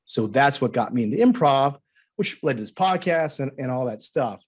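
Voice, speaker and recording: A man's voice: mid-range voice, animated, normal speed, husky. A man hosting a podcast, chatty and rambling as he tells a self-deprecating personal story. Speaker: podcast host. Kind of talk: casual interview chat.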